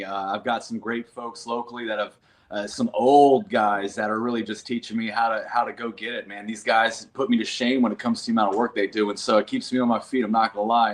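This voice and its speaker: A man in his thirties from North Carolina, a combat veteran talking casually and earnestly as an interview guest. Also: fairly low pitch. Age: 30s. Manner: earnest, folksy, calls listeners man and brother.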